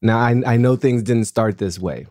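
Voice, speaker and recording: A man hosting a podcast, reading a scripted introduction and asking interview questions in a measured, earnest way. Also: medium pitch, darkish tone, dry recording, clean studio sound. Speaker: podcast host.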